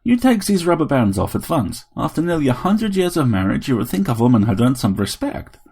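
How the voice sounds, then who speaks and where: A man's voice: medium pitch, sing-song, brisk, darkish tone, breathy edge, pitched above the performer's own voice. A man voicing a robot with an old wife's personality, using a feminine, scolding intonation for a story.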